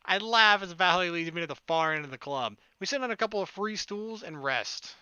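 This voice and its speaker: A man's voice: high voice, expressive, a little fast, bright, forceful tone, clean tone, no room sound. A man hosting a gaming video, reading a visual novel aloud and acting out the dialogue informally. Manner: informal, performs lines as he reads. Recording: game music playing behind the voice.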